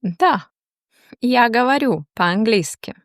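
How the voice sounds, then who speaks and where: Woman language teacher, extremely slow pace, lively intonation, dry close sound. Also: on the high side, no room sound. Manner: slow, deliberate, pauses between phrases. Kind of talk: slow, clearly spoken language drill.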